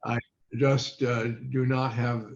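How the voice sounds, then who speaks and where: An older man speaking as an interview guest on a video call, halting and often searching for words. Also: mid-range voice, flat delivery. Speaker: interview guest, former quiz show contestant. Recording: muffled, echoey video call audio.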